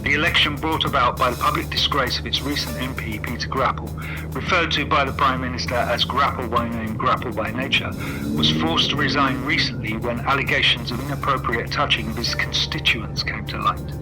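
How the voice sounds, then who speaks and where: A man's voice: medium pitch, brisk, music in the background, close to an everyday voice, rough recording. A man playing a formal news reporter, reading a scripted bulletin in a radio play. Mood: measured, formal. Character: formal male news reporter covering a by-election.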